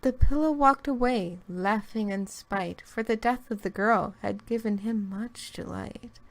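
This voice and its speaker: A woman reading a rhyming creepy poem very slowly, taking her time over every line. Her voice sits in a middle pitch, and she drops to a whisper here and there. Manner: slow, drawn-out delivery with whispered lines. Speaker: guest reader.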